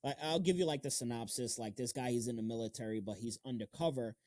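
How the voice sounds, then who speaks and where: A man's voice: mid-range voice, fast talker, darkish tone. A man hosting a casual interview podcast, talking in slangy, emphatic, heavily profane language.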